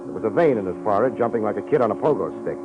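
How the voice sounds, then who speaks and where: A man's voice: fairly low pitch, expressive, very fast, close to an everyday voice. A man playing a wry, tough private detective in an old-time radio drama, with sardonic, slangy delivery. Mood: wry narration. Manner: sardonic, slangy, hard-boiled. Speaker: private investigator narrator. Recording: muffled vintage radio recording with music.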